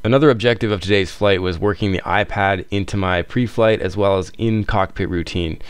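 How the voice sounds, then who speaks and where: A man's voice: low voice, steady tone, brisk, background noise. A man with a Canadian accent reading a scripted voice-over as a video host. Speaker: video host, student pilot. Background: clear background music.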